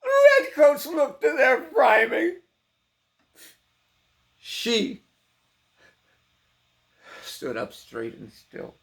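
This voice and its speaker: A man giving a theatrical, intense dramatic recitation of poetry, swinging between hushed delivery and full-throated cries. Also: extremely high pitch, huge pitch swings, drawn-out words, creaky, long pauses. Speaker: poetry performer.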